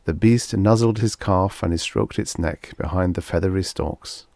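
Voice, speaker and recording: A man's voice: low-pitched, moderate pace, a little mellow, no echo, clean studio sound. A man narrating vintage science fiction stories in a scripted audiobook style.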